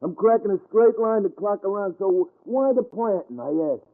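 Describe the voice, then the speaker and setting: Jittery slang-talking crook, tinny old radio. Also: male voice, high-pitched, animated, moderate pace. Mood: nervous, insistent. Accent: American. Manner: agitated, slangy, stammering. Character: jittery small-time male crook talking thick underworld slang. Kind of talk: 1940s American radio acting. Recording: thin, band-limited 1940s radio recording.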